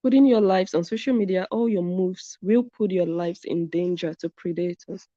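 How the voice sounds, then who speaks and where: A woman talks through a live stream, reading viewers' comments and driving her points home by saying them twice. Her voice sits a little low and sounds warm and mellow. The recording is dry and a bit dull, with a lo-fi edge. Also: normal speed, no echo. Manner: emphatic, repeats points for stress. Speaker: commentary channel host.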